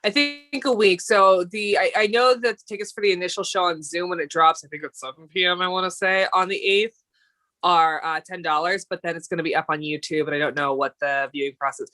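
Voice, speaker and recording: Lower-voiced woman in casual chat. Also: a little fast, bright, forceful tone. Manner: rambling, many fillers and restarts. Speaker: co-host of a dance talk stream. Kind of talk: casual live-stream chat.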